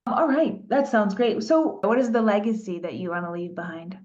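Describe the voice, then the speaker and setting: A woman's voice: medium pitch, lively intonation, normal speed, a little mellow. A woman hosting an interview, chatty and upbeat in an informal way. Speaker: interview host. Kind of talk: casual interview chat. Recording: dull lo-fi audio.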